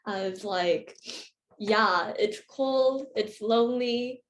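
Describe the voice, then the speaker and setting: Young woman host, mid-pitched, roomy echo around her voice, casual and friendly, loose with fillers. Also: takes it slowly. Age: young adult. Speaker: journal host interviewer. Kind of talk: hosting a reading Q&A. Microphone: video call. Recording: roomy video call audio.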